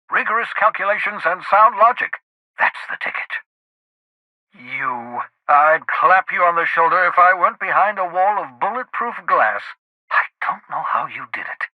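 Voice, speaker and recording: An actor playing an eccentric, excitable fugitive scientist in a video game, an idealistic schemer who speaks in a theatrical, articulate and emphatic way. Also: male voice, on the high side, dry recording, lo-fi sound. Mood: excited. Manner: theatrical, articulate, emphatic, swinging between fervour and muttered asides. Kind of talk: video game character dialogue.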